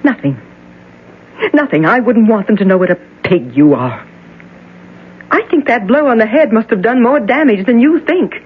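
Nervous young fiancée, expressive voice, old radio play recording. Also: female voice, on the high side, moderate pace, long pauses. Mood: frightened. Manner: nervous, protesting. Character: young woman, engaged, frightened. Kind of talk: 1970s radio drama acting. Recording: dull old radio broadcast, faint noise.

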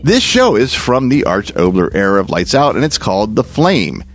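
A male podcast host reads a scripted introduction with lively, varied intonation. His pitch is medium, and faint music plays behind him.

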